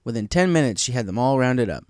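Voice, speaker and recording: A man's voice: mid-range voice, animated, fast talker, a little mellow, dry recording, studio quality. A man reading scripted story narration as the narrator of a fan tale.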